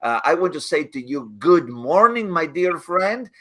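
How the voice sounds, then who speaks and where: A man's voice: on the high side, sing-song, unhurried, fairly bright tone. A man hosting a motivational live talk, speaking earnestly and often repeating his phrases. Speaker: host.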